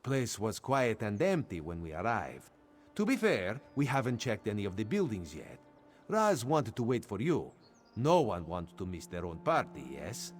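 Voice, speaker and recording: A man's voice: fairly low pitch, wildly swooping pitch, moderate pace, slightly rough, faint music behind, full character voice. A man voicing a cheerful male cat-folk rogue who talks about himself in the third person, as AI-voiced game dialogue. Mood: playful.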